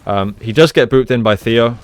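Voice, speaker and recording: A man giving gameplay analysis commentary as a coach, explaining in a measured way and repeating phrases for emphasis. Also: mid-range voice. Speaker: esports coach, video host.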